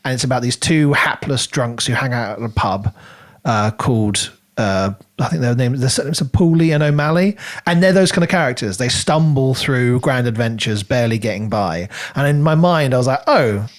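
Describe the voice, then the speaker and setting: A man co-hosting a podcast, talking through a book casually in an animated, rambling way as he thinks aloud. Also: mid-range voice, moderate pace. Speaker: podcast co-host.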